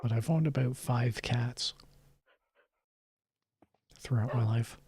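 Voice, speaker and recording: Chill soft-spoken guy streaming late, chuckles a lot, drifts into whispers. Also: mid-range voice, slow. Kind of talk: late-night casual gaming chat.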